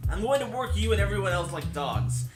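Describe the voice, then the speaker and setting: A brusque young female pro gamer, voiced by a man, speaks in a highish register with a bossy, blunt edge. The visual novel dialogue comes through with roomy echo and music behind it. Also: expressive, fairly quick. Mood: blunt, commanding.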